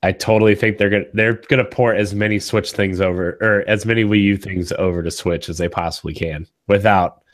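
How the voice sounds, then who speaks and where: A young man with a cold-hoarse voice hosting a casual gaming podcast, rambling and restarting his thoughts, recorded on a studio mic at home. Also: on the low side, steady tone, brisk, hardly any pauses. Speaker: podcast host. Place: small home studio with green backdrop. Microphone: studio condenser mic on boom arm.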